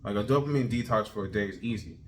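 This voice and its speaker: A thirty-year-old man talking casually in a self-improvement video journal, energetic and repeating himself as he pushes his point. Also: lowish voice, quick pace, slight background noise. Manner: energetic, repetitive, self-motivating. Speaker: vlogger.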